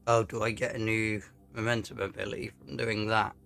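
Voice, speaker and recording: A man giving casual, low-key commentary on a video game as he plays, often muttering to himself. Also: level tone, fairly quick, gravelly, fairly bright tone. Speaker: gaming YouTuber. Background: game music.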